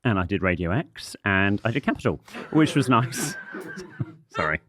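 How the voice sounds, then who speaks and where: Brit guy, voice all husky n raw after losing it, dry teasing podcast chat, lots of up and down. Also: on the low side, very animated, crowd noise. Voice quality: husky, breathy from laryngitis. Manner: dry, teasing, quick with jokes. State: recovering from lost voice, raw throat. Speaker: podcast co-host. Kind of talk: casual chat.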